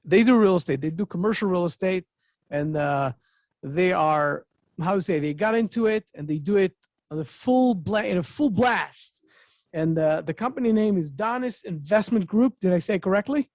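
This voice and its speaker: An energetic middle-aged man hosts a podcast interview, his voice sitting fairly high and sounding close and dry into a studio mic. He talks with eager, repetitive phrasing and loose grammar. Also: moderate pace, no echo. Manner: excitable, repetitive, non-native phrasing. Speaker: podcast host. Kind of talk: enthusiastic interview chat. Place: home office with bookshelves. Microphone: studio mic on boom arm.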